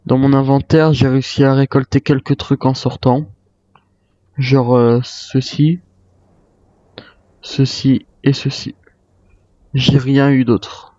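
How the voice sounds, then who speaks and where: A man's voice: mid-range voice, warm tone. A man improvising a survivor roleplay in a game, hesitant and musing aloud to himself as a weary retired soldier. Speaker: gamer role-playing a survival game. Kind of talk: improvised in-character roleplay monologue. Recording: dull, roomy microphone sound.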